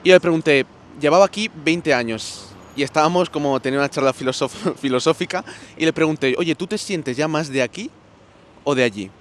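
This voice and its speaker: A young man from Spain answering interview questions in a relaxed, anecdotal way. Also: a little high, fairly quick, slight background noise. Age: young adult. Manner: relaxed, anecdotal, fillers like pues.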